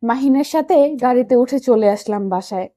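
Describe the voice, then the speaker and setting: A young woman reads a romantic story in a high voice. The recording is dry and close, and she tells it in the first person as an audio story narrator. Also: normal speed, dark tone, no echo.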